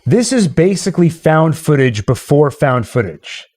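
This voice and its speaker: A man's voice: a little high, very animated, moderate pace, a little mellow. A man hosting in a casual, chatty style, explaining things to his friends as he goes. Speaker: host and narrator of radio play.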